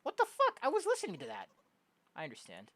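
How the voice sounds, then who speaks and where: A young adult game streamer casually reading visual novel dialogue aloud, jokey and prone to loud exclamations. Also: mid-range voice, quick pace, no room sound. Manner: animated, jokey, exclaims loudly. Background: game music.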